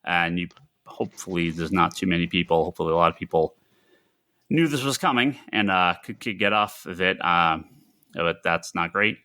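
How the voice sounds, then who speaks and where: Bright, forceful low-pitched man podcasting. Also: lively intonation. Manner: halting, thinking aloud, emphatic repetition. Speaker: podcast co-host who runs a comics store. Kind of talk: casual podcast talk on industry news.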